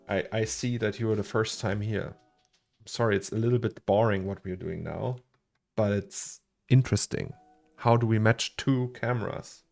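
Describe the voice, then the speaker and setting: A low, gravelly man with a German accent talks his way through a fiddly software problem on a livestream. He repeats words as he thinks and chuckles between thoughts, with soft music playing behind him. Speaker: 3D artist streaming his work. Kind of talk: casual livestream chat while working.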